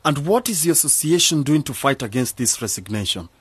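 A man's voice: mid-range voice, big pitch swings, brisk, a bit bright, slightly rough, no echo, heavily acted. An actor playing an earnest, persuasive young village man in a radio drama.

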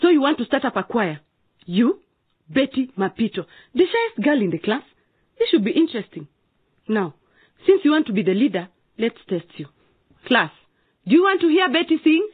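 A woman's voice: on the high side, big pitch swings, moderate pace, pauses often, breathy edge, no echo, phone-quality sound. An actress playing a stern but encouraging schoolteacher in a radio drama, questioning and commanding her class. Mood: stern, challenging.

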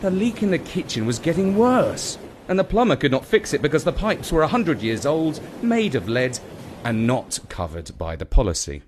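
A man narrating a scripted children's story in a dramatic, expressive manner. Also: on the high side, moderate pace, background noise.

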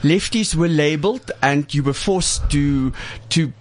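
Brief: male interview host, somewhat high voice, halting, restarts sentences. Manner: hesitant, restarts phrases. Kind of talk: conversational interview.

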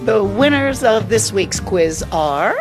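A woman hosting a scripted radio programme in a chatty, expressive way. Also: medium pitch, slow, loud music behind the voice. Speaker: radio show host. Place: radio studio.

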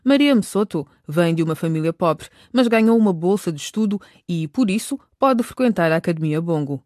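A woman's voice: on the low side, expressive, moderate pace, a little mellow, dry recording, clean studio sound. A woman narrating a radio drama in a scripted storytelling style.

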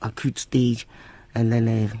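Hoarse South African man, halting. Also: mid-range voice, little pitch movement, warm tone, no room sound. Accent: South African English. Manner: halting, many "uh" fillers. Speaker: medical doctor guest. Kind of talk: panel discussion.

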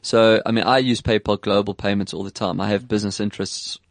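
A man with an English South African accent hosting a casual tech chat, animated and quick to put on voices when quoting people. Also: on the low side, no echo. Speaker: podcast host.

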